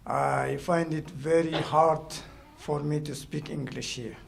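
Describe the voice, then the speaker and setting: A man from Sudan speaking slowly and haltingly on a panel. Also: on the high side, steady tone, vocal fry, soft background music. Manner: slow, halting.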